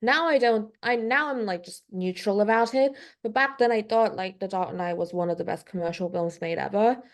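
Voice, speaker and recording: A woman's voice: mid-range voice, normal speed. A young woman from Korea who speaks English with a British accent tinged with Californian, thinking aloud as a podcast guest. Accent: British English with a slight Californian tinge. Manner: thoughtful, hedging with frequent "like" and restarts. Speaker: guest concept artist. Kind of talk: casual podcast conversation.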